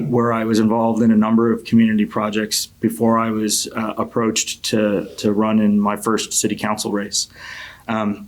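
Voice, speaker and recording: A middle-aged man with a fairly low voice and very little melody delivers a campaign opening statement. He comes from Connecticut and speaks as a council member, earnest and measured, often pausing and restarting. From Connecticut, United States. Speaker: city council member and candidate.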